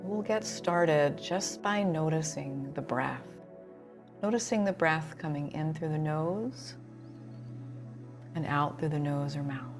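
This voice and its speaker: A woman leading a guided relaxation as a yoga instructor, soothing and unhurried, with pauses between phrases. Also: low-pitched, over music.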